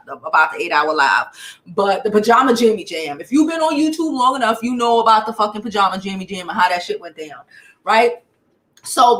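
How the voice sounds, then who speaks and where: A woman's voice: sits high, sharp tone, roomy sound. An American woman giving casual gossip commentary on a livestream, talking in a sassy, blunt, slangy way. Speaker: YouTube livestream commentator and host.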